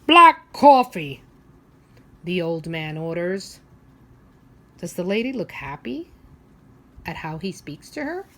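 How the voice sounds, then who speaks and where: Slow, very expressive woman teacher. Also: fairly low pitch. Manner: simple questions to young children, pausing. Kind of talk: interactive children's read-aloud lesson.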